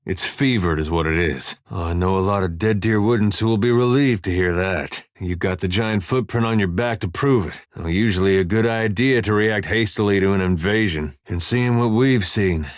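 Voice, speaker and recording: An actor playing a laid-back, wry farmer and veteran soldier in a video game, with a folksy, understated drawl. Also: male voice, on the low side, moderate pace, few pauses, dry recording, clean studio sound, natural voice. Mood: wry, easygoing. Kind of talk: video game character dialogue.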